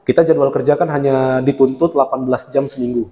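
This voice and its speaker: A man in his thirties, a university lecturer, talks easily and often breaks into laughter as he explains. His voice sits in a middle register and carries a clear echo with a long reverb tail, as if recorded in a large room. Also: mid-range voice, fairly even intonation, fast talker, dark tone. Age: 30s. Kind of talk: relaxed interview talk.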